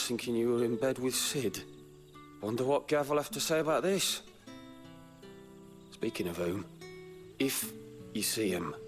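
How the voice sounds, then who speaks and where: A man voices a gossipy townsman in a fantasy video game. His mid-pitched voice is chatty and familiar with a wry touch, and faint music plays behind it. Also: natural voice. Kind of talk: video game dialogue acting.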